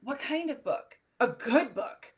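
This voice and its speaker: A woman's voice: high voice, unhurried, husky. A woman hosting an interview who is also a teacher, speaking with enthusiasm and acting out what other people say. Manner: enthusiastic, animated, acts out quotes. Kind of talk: interview conversation.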